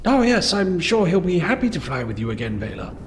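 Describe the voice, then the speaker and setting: A man's voice: high voice, very animated, fairly quick. A man playing a hesitant, anxious older father in a tabletop sci-fi game, stammering and pleading as he talks. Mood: fond. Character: hesitant, anxious older father fond of his droid friends. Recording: reverberant hall, faint background noise.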